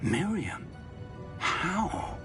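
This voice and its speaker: An expressive, learned wizard professor over music. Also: male voice, a little high, heavily acted. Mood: measured. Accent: British. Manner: articulate, measured, formal. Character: older wizard professor, learned, mentoring, protective. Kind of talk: video game voice acting. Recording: music behind the voice. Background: game music.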